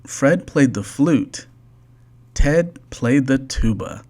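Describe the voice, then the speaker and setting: Storyteller for a children's bedtime book: unhurried, deliberate, animated intonation. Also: mid-range voice. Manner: slow, deliberate, expressive read-aloud. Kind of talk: scripted children's storybook narration.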